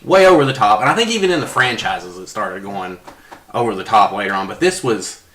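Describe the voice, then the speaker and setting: A man's voice: on the low side, lively intonation, fairly quick, pushed and bright. A man on a podcast panel, casually discussing film in a reflective way, repeating phrases for emphasis. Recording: roomy echo.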